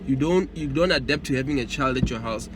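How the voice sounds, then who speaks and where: A man's voice: medium pitch, brisk. A South African man in a casual debate, arguing firmly and cutting in often. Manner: firm, argumentative, keeps interrupting. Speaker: co-host. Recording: noisy street traffic in background.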